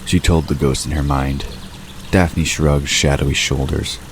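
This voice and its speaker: A man with an exceptionally low voice narrates a story. He reads scripted prose in audio drama style, with noticeable ambient noise behind him. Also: steady tone, unhurried.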